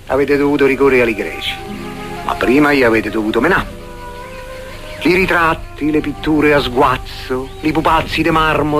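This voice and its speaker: An actor with a Roman accent playing a sardonic man scolding Roman soldiers, colloquial and mocking, in a comic radio play. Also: fairly high pitch.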